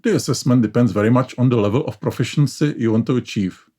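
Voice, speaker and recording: A man's voice: mid-range voice, fast, mellow, slightly rough. Man narrating. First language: Czech. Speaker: educational channel host. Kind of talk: scripted explainer narration.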